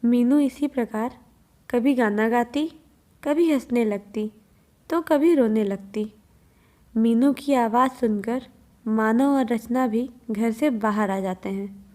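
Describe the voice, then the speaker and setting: Slow-paced woman storyteller. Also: on the high side, a little mellow. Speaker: narrator. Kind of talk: scripted children's horror story narration.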